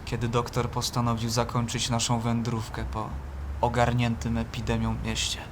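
An actor playing a shaken young seminarian, narrating an audio play in an earnest, literary and troubled manner. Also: male voice, mid-range voice, monotone, normal speed, noisy background, natural voice. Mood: somber. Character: shaken young male seminarian from a palace, narrating his journey. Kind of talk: dramatic first-person narration in an audio play. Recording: prominent music behind the voice.

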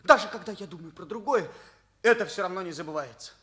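Teenage schoolboy, earnest, confiding, high bright voice, Soviet radio play. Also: male voice, animated, fast, slightly rough, full character voice. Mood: anguished, confiding. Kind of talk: Soviet radio drama acting.

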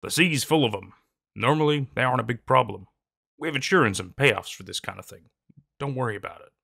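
A man voicing a gruff, weary ship captain who talks bluntly and dismissively. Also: medium pitch, lively intonation, a little fast, pushed and bright, no room sound, clean studio sound, exaggerated voice.

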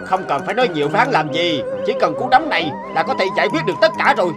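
A man's voice: high voice, huge pitch swings, very fast, loud music behind the voice. A man playing an angry, threatening cloth merchant in a fairy-tale cartoon. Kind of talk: animated fairy-tale dubbing.

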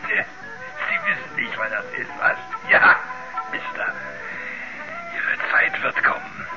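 An actor playing an eerie, half-mad old drunkard, breathy, fervent and ominous, in a radio drama. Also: male voice, very high voice, wildly swooping pitch, slow pace, music in the background, full character voice. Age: older. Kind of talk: 1970s German radio drama acting. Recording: muffled 1970s radio play recording.

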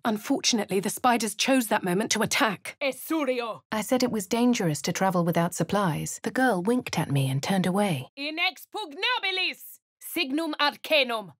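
A woman voicing a posh, plucky young adventurer with a southern English accent, speaking crisply and chanting spells. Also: mid-range voice, dry recording, close to an everyday voice. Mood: incanting. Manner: chants spell incantations loudly. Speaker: player character voice. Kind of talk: video game voice lines. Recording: dry studio recording.

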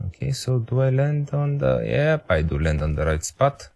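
A man's voice: medium pitch, sing-song, normal speed, vocal fry, a little mellow. A young man whose first language is Romanian, casually commenting on a game, thinking aloud, joking about his own intellect and pleading with the game. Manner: self-mocking, talks to the game, thinks aloud. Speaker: gameplay commentator.

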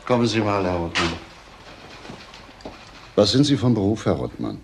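Male detective, very deep creaky voice, shrewd, probing, conversational, crime drama, faint background noise. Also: very animated, normal speed. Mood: calm, probing. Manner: conversational, probing, tag questions. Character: shrewd, unhurried male police inspector, probing. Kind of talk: German crime drama acting.

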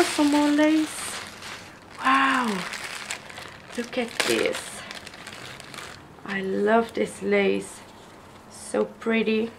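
A young woman, hoarse from a cold, gushing slowly over craft gifts while filming at a table with the camera mic. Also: a little high, noisy background. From Netherlands. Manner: gushing, slow, admiring, repeats praise. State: hoarse from illness. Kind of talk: casual unboxing vlog. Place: indoors at a wooden table.

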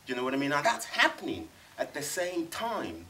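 A middle-aged man speaks on a panel in a cinema screening room. His tone is bright and ringing, and he argues with emphasis, circling back and restarting. A faint hum of the room sits behind him. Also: fairly high pitch, huge pitch swings, fairly quick, rough recording. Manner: thoughtful, emphatic, many restarts. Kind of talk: panel discussion.

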